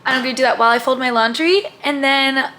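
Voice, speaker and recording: Bright-voiced chatty young woman vlogger. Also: sits high, fast, slight background noise. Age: 20s. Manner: chatty, filler-heavy with frequent "like" and "um"; upbeat and friendly. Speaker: lifestyle vlogger. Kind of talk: casual vlog chat to camera.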